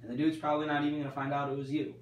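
A young man playing a self-absorbed high school student in an amateur comedy skit, talking in a casual, deadpan way. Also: rapid-fire, highs cut off, close to an everyday voice. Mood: coaxing. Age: young adult. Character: self-absorbed high school student torn between bickering inner voices. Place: school stairwell, hallway and gym. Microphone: camera mic at a distance. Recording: echoey school halls, distant mic, loud room noise.

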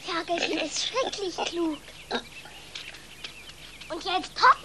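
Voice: very high-pitched, expressive, slow pace, heavy background noise, exaggerated voice. A young performer playing a cheeky, clever farm boy in a German-dubbed children's film, earnest and matter-of-fact. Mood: proud, coaxing.

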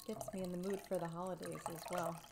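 A woman in her thirties speaking softly and gently in an ASMR personal-attention roleplay, close up in a room at home. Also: lowish voice, little pitch movement, fast, creaky, very noisy. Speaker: ASMR host playing a barber giving a facial. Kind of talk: soft-spoken ASMR roleplay.

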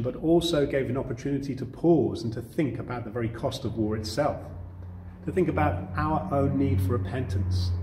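A man's voice: mid-range voice, a little mellow. British man preaching in an echoey room. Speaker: Salvation Army officer preaching. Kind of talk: sermon to camera for online church service. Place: wood-panelled room indoors. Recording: roomy echo, clear background noise.

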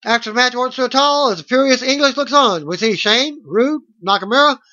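A middle-aged man reading out a wrestling results recap as host, in a halting way, on a webcam mic at home. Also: very high-pitched, bright, forceful tone. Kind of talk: read-out play-by-play results recap. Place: small room at home, indoors. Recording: dull lo-fi webcam audio.

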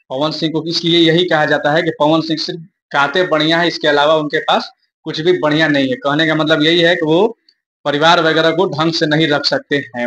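A man's voice: on the high side, a bit bright, slight background noise. A young man giving informal news commentary to viewers in an emphatic, repetitive explaining manner. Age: young adult.

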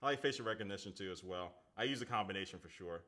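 A man's voice: sits low, rapid-fire, pushed and bright. A man in his thirties hosting a casual live-stream phone unboxing, chatting loosely with viewers and reading their comments aloud. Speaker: tech reviewer. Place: indoor home studio at a desk.

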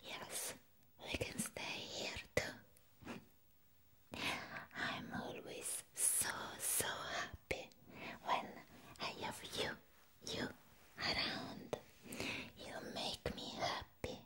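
A woman who is not a native English speaker, voicing a sweet, doting vampire in a breathy whispered ASMR roleplay, repeating tender words softly. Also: extremely slow, pauses often. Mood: affectionate. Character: sweet, doting female vampire, adoring and clingy.

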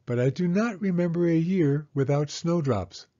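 A man voicing a patient, gentle mother mouse in a children's storybook, explaining things simply and reassuringly. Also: fairly high pitch, moderate pace, dull audio, voice pushed up, lightly acted.

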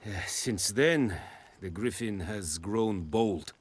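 A man playing a stern foreign army commander in a video game, speaking English as a second language in a formal, measured way. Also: lowish voice, expressive, husky, full character voice. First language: not English. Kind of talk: video game dialogue acting.

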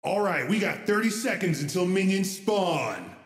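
A man playing a brash, foul-mouthed video game announcer, with loud, swaggering, drawn-out calls in a parody style. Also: high-pitched, animated. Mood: brash hype. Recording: echoey hall-like reverb from game clip.

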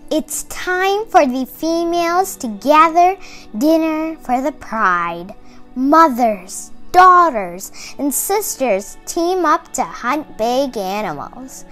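Slow-reading young girl, high lively voice. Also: faint music behind. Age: child, about 8 to 11. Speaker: young presenter reading a picture book aloud. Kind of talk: expressive read-aloud for kids.

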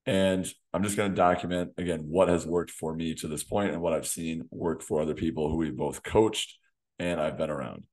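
A man in his thirties, a fitness coach hosting a solo podcast, slightly hoarse from losing his voice, talking in a direct coaching way in a small home gym on a desk mic. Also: a bass voice, fairly even intonation, normal speed, darkish tone. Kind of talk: solo podcast talk to camera. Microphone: desk condenser mic in front of him.

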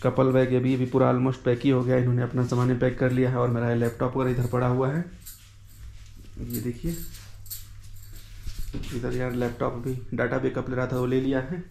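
A man's voice: medium pitch, monotone, normal speed, gravelly, pauses often, warm tone. Chatty man vlogging, faint background noise. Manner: informal, chatty, colloquial, addressing viewers. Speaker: travel vlogger. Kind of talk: casual vlog chat to camera.

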